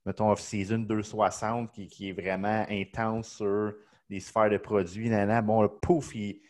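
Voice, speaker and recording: A man's voice: on the low side, little pitch movement, unhurried, a little mellow. A man hosting a casual podcast, eager and chatty with many fillers. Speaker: podcast host.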